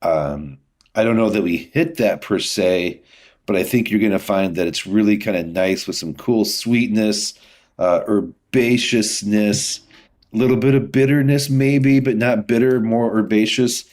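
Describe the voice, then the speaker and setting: Male interview guest, lower-pitched voice, informal explainer, conversational and peppered with filler phrases. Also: measured pace, darkish tone. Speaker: guest, distillery founder and whiskey maker. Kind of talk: casual interview chat.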